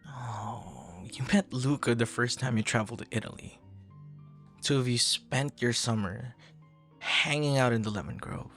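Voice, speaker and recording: A man performing an ASMR roleplay as an earnest, easily flustered young stranger, talking conversationally with hesitant repeats and hushed asides. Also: medium pitch, soft background music, lightly acted. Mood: surprised, curious. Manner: hesitant, halting, soft-spoken asides, repeats words.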